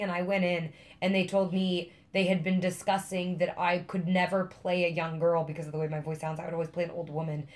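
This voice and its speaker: Low raspy-voiced young woman from Missouri. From Missouri, near Kansas City. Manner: animated storytelling, lots of likes and ums. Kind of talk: casual podcast chat.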